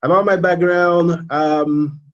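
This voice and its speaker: A man in his thirties from Nigeria with a Nigerian accent, a student giving a casual live presentation, halting with fillers and repeats. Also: a little high, steady tone, fairly bright tone, muffled recording. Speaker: MBA student.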